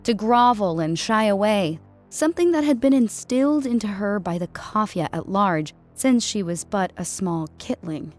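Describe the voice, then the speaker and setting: A woman narrates a story in a scripted audiobook style. Her voice sits at a middle pitch, with faint music playing behind it. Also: expressive, moderate pace. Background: background music.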